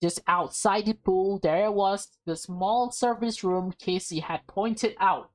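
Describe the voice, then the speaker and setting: Slow-paced woman reading a game aloud. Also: medium pitch. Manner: halting reading, stumbles and self-corrects. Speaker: gameplay streamer reading a visual novel aloud. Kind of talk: casual let's-play read-aloud with commentary.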